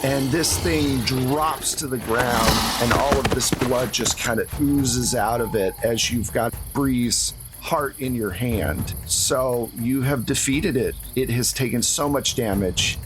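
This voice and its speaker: A man's voice: medium pitch, slow, hardly any pauses, background noise. A man acting as game master, improvising tabletop game narration in a conversational way.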